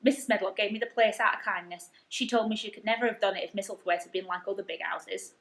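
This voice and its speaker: A woman's voice: medium pitch, fast, vocal fry, fairly bright tone. Woman acting out young Yorkshire housemaid, good-natured, chatty, blunt, homely dialect, storybook character, roomy sound. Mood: chatty, frank.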